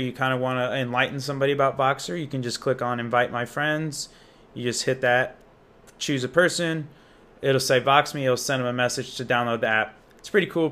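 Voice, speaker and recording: A man's voice: medium pitch, bright, forceful tone. A young American man giving a casual, unscripted app walkthrough in an informal, slangy, enthusiastic manner. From Indiana, United States. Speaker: app reviewer and demonstrator.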